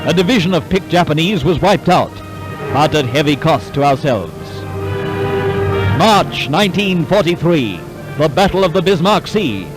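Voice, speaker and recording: Dramatic 1940s newsreel man, high-pitched. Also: low-quality recording. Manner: dramatic, clipped, declamatory. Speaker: newsreel narrator. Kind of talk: 1940s wartime newsreel narration, scripted. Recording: 1940s newsreel soundtrack, music behind. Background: orchestral newsreel music.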